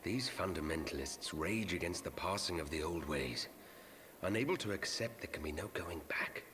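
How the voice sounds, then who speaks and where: Very deep, solemn fantasy ally. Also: male voice, expressive, moderate pace. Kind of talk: dramatic video game voice acting. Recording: music clearly behind the voice.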